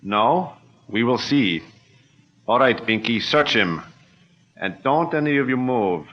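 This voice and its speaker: A taunting villain. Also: male voice, medium pitch, lively intonation, takes it slowly. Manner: smooth, taunting, broken English. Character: menacing male munitions racketeer villain. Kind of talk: 1940s radio acting. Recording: dull lo-fi old-radio sound.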